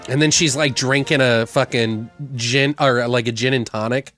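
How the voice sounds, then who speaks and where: A man's voice: medium pitch, steady tone, normal speed, fairly bright tone, soft background music. A man hosting a podcast, casually brainstorming comic story ideas in a crude, jokey, sweary way. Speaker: podcast host. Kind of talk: casual comedic brainstorming chat.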